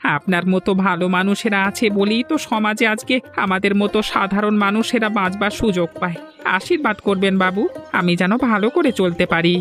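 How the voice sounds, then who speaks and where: A man with a West Bengal accent voicing a reformed thief turned eatery owner in a cartoon, with a smooth-talking, self-justifying manner. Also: high-pitched, a little fast, a bit bright, faint music behind. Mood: humble, grateful. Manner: obsequious to betters, self-justifying. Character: ingratiating reformed male thief running cheap fish eatery. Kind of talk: cartoon voice acting.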